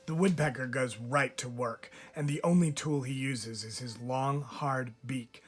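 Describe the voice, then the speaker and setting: A man reads a children's picture book aloud in a measured, expressive way, pausing deliberately like a teacher telling a story to a class. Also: mid-range voice, breathy edge.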